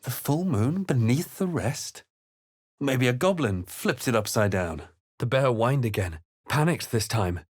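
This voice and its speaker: An actor playing a brooding male fantasy adventurer, voicing video game lines in a hushed, deliberate, theatrical way. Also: mid-range voice, animated, no room sound, clean studio sound. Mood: ominous, grave. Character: brooding male fantasy adventurer narrating his own quest.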